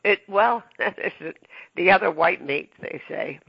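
Male podcast host, husky and raspy-edged, stop-start delivery, recorded dull and dry. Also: sits high, expressive, no room sound. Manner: halting, full of ums and restarts. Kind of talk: casual interview chat.

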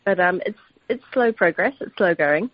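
A woman, a chef answering interview questions in a soft-spoken, modest way. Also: lowish voice, lively intonation, moderate pace, no echo. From New Zealand. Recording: dull lo-fi radio sound, dry.

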